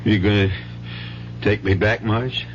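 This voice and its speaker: A man's voice: on the low side, fairly even intonation, lightly acted. Actor as desperate American gambler father, muffled radio sound. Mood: contrite, pleading. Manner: halting, pleading, repeats himself. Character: desperate gambling father, wheedling, bitter, weak-willed. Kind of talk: old-time radio drama acting. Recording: dull, noisy 1970s radio recording with hum.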